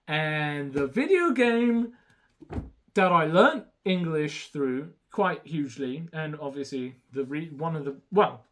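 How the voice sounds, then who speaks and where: A young man whose first language is Romanian, talking casually and hesitantly through a haul with many ums and restarts. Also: on the high side. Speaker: YouTuber showing a haul.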